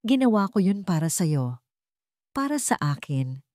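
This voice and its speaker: A woman storyteller acts out a guilty husband in a romantic audio drama. He is pleading and panicked, in a slightly low, lively voice. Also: unhurried, no echo, studio quality. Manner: pleading, remorseful. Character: guilty, panicked rich husband begging to explain.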